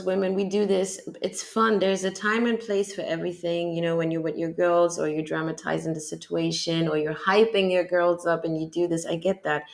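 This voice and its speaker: A woman's voice: lowish voice, creaky. A woman hosting her own podcast, reacting to a clip in a frank, rambling way and asking rhetorical questions. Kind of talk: reaction commentary.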